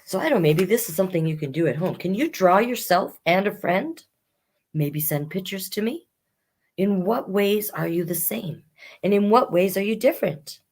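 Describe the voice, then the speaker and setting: A woman's voice: medium pitch, animated, normal speed, a little mellow. A woman hosting a children's storytime, reading picture books expressively with chatty asides to young listeners.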